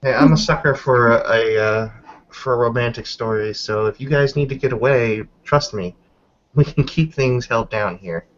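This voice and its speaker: A man's voice: mid-range voice, normal speed, lightly acted. A man playing a jovial, wry woman friend in a tabletop game, with hesitant, encouraging speech on a dull recording. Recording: dull lo-fi mic with background noise.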